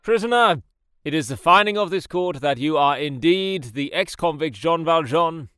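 A man's voice: fairly high pitch, animated, moderate pace, fairly bright tone, clean tone, dry recording, studio quality. An actor playing a stern male court president with formal, commanding speech. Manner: formal, commanding, official cadence. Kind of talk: radio drama acting.

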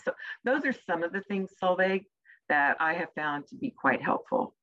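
A woman with a soft voice, an executive communication coach answering interview questions in a measured way with deliberate pauses. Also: on the low side, lively intonation, dull audio.

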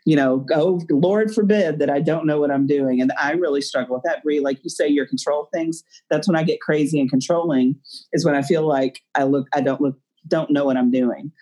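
A woman's voice: low-pitched, brisk, dark tone, rough recording. A woman co-hosting a casual podcast, speaking candidly and self-deprecatingly and laughing often. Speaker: podcast co-host. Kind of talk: casual chat.

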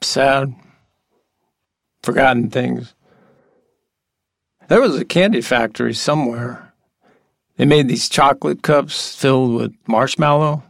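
An actor playing an odd, nostalgic exterminator in an audio drama, earnest and halting with a childlike sense of wonder. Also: male voice, mid-range voice, creaky, dry recording, clean studio sound. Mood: wistful. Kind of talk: intimate audio drama acting.

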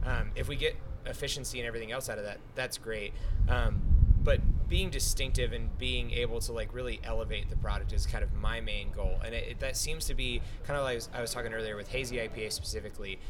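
A man's voice: medium pitch, background noise. A man being interviewed casually as a brewer, explaining his trade in a reflective, wordy and hedging way. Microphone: podcast microphone.

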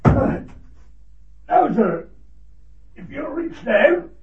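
A man's voice: extremely high pitch, sing-song, extremely slow, noisy background, heavily acted. A man playing a respectful police constable, calling out with effort in an old-time radio drama. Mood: straining, urgent. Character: deferential, hard-working male county police constable. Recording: dull, echoey, distant vintage radio recording.

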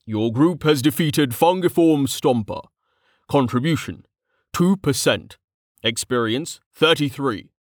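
A formal game system announcer, as voiced by a narrator, reads out results in measured phrases. The sound is close and dry, like an audiobook about a game world. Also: medium pitch, lively intonation, no room sound, voice pushed up. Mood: flat, formal. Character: game system announcer reporting kills and experience.

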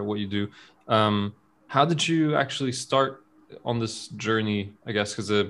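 A man hosting a casual podcast interview. He talks haltingly, laughs often and restarts his sentences a lot. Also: fairly low pitch, fairly bright tone. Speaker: podcast host.

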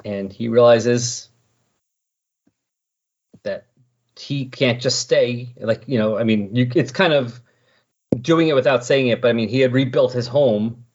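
A man's voice: medium pitch, unhurried, pauses often, a little mellow, slightly rough. A man hosting a casual, rambling comic-book recap, slipping into paraphrased dialogue as he goes. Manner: animatedly paraphrases characters' dialogue in casual asides. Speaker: podcast host discussing comics. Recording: dull, lo-fi voice recording.

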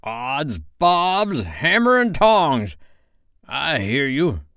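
A man's voice: a little high, huge pitch swings, drawn-out words, fairly bright tone, no room sound, studio quality, voice pushed up, exaggerated voice. A man voicing a cheeky storybook boy who boldly and mockingly plays at being a pirate. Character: cheeky boy mimicking a pirate captain to taunt him.

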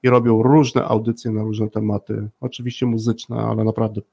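Mężczyzna prowadzący na żywo swobodną rozmowę z gośćmi, gadatliwy, chętnie powtarzający słowa dla podkreślenia. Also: male voice, fairly low pitch, level tone, moderate pace, creaky, warm tone, husky. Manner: chatty, repeats phrases for emphasis. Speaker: host of a live talk show with guests. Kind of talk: casual, friendly co-hosted chat.

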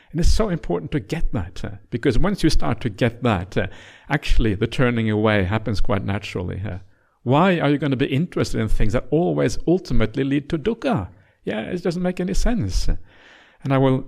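A middle-aged man from Norway, a native Norwegian speaker, teaches a meditation retreat in a gentle, teasing way. His voice has a gravelly, creaky edge and sits at an ordinary pitch. He pads his points with relaxed uhs and yeahs. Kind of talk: dharma talk.

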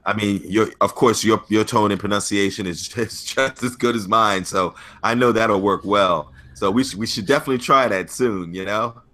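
Deep-voiced man telling stories. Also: moderate pace, few pauses. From United States. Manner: animated, laughing anecdotes with filler words. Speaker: stuntman guest. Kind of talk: casual storytelling interview.

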